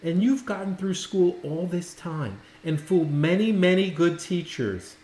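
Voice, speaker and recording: A man reading a storybook and voicing a kind, encouraging schoolteacher in a gentle, earnest, emphatic manner. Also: sits high, a little slow, pitched above the performer's own voice. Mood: compassionate. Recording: roomy, echoing indoor sound.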